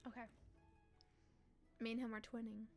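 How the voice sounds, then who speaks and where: A woman live-streaming a visual novel, reading aloud and reacting casually in a playful, expressive, teasing way. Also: on the high side, normal speed, creaky, faint music behind.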